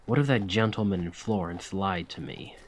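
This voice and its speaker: A man playing a centuries-old vampire gentleman in a scripted ASMR roleplay, weary and courteous, with formal, old-fashioned manners and a measured delivery. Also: low voice, a little hiss or hum, lightly acted. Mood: anxious, determined.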